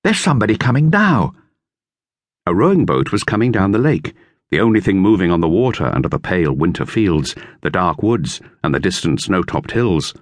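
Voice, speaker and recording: A man reading a children's novel as an expressive audiobook narrator. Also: sits low, a little fast, no room sound, clean studio sound.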